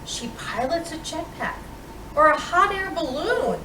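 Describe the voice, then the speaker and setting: Female storytime reader, picture-book delivery, unhurried and expressive, captured in a roomy space from a distance. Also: high voice. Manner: expressive, deliberate storytelling with dramatic pauses. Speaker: storytime reader playing Mrs. Claus. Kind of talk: reading a children's picture book aloud. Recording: roomy, distant microphone with clear background noise.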